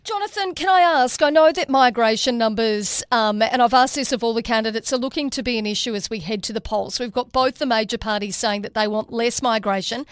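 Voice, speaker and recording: Crisp woman radio presenter. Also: fairly high pitch, fairly quick, hardly any pauses, no echo, clean studio sound. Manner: direct, conversational questioning. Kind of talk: radio interview.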